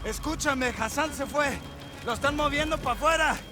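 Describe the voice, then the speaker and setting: An actor playing a tense, loyal Mexican special forces deputy in a video game, with a Mexican accent. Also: male voice, very high voice, brisk, over music. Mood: urgent, strained. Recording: noisy outdoor vehicle ambience.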